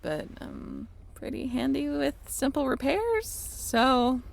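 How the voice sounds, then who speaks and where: A woman's voice: high-pitched, very animated, slow, vocal fry, exaggerated voice. A woman playing a friendly, handy young sailing ship crew member in an intimate audio roleplay, talking in a casual, chatty way. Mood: relaxed, modest.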